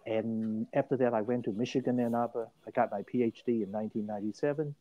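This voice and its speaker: A man's voice: medium pitch, fast, lo-fi sound. An older man from Hong Kong tells his life story as an interview guest. He speaks with emphasis and often restarts his phrases. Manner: animated and emphatic, many restarts.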